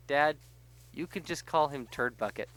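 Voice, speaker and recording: A man's voice: on the high side, moderate pace, a bit bright. A middle-aged man chatting casually as he hosts a gaming session, self-deprecating and joking as he tells little anecdotes. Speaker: gaming show host. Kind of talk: casual chat while playing a video game.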